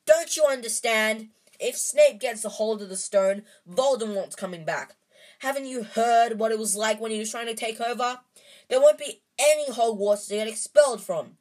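A woman voicing a desperate eleven-year-old boy wizard who shouts and pleads at his friends. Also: on the high side, moderate pace, pitched above the performer's own voice, natural voice. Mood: furious shouting.

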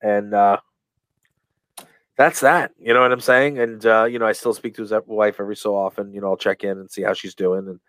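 Male guest, low booming voice, rambling storyteller, dry close sound. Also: fairly even intonation, brisk, fairly bright tone, no room sound. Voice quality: big booming voice. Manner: rambling, many fillers and restarts. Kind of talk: casual interview storytelling.

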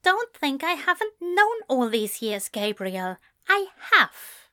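A woman's voice: extremely high pitch, very animated, slow pace, bright, forceful tone, pitched above the performer's own voice, heavily acted. A woman voicing an earnest, prim man in an audiobook story, with formal, precise phrasing and a firm, exasperated manner. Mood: firm, angry.

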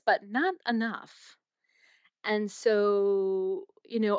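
Woman guest, coach type, lowish voice, reflective and searching, dry slightly dull recording. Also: a bit bright, smooth, no room sound. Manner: thoughtful, searching, with frequent fillers. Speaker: guest, coach and energy healer. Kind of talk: reflective interview talk.

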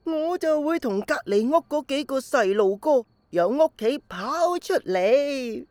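A woman's voice: high voice, animated, darkish tone, dry recording. An actress playing an elderly grandmother in a radio drama, telling stories to a small child in Hong Kong Cantonese with nostalgic, playful fondness. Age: older. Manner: slow, wistful storytelling to a small child.

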